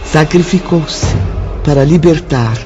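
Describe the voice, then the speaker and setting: A man's voice: on the high side, slow pace. A man acting as the solemn storyteller of a tragic radio drama, with emphatic scripted narration. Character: grave male storyteller of a tragic spiritist tale. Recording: dull audio under prominent music.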